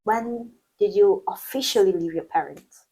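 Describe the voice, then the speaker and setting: Woman vlogger, host, husky voice, upbeat and chatty. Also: mid-range voice, unhurried, dark tone, rough recording. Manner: chatty, upbeat, addresses viewers directly. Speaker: vlog host. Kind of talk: casual interview chat. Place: indoors in China.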